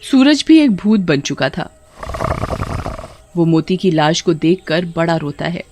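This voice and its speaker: Medium-pitched dramatic story narrator. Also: sing-song, measured pace, music in the background. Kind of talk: scripted dramatic storytelling for animated horror tale.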